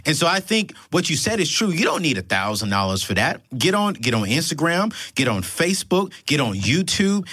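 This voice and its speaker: Lively man hosting a podcast. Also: on the high side, a little fast, few pauses, slightly rough, dry recording, clean studio sound. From Darlington, South Carolina. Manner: animated, encouraging interjections. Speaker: podcast host. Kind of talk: casual conversation.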